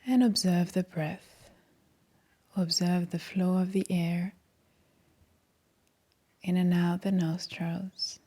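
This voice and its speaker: Soft, very slow-speaking woman guiding meditation. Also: fairly low pitch. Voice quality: soft, breathy. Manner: calm, gentle, soothing. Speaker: meditation guide, yoga teacher. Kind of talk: guided meditation, soft-spoken instruction.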